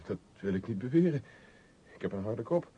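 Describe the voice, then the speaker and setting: An actor playing a polite, hesitant young architect, a guarded traveller in a radio drama. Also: male voice, animated, moderate pace, mellow, husky. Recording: dull old radio recording, rumbling noise.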